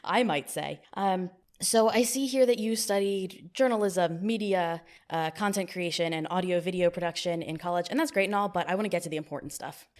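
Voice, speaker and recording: A young woman hosting a podcast, running a mock job interview in a deadpan, playfully bossy way. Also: on the low side, a little fast, hardly any pauses. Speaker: podcast host. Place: new studio, not fully set up.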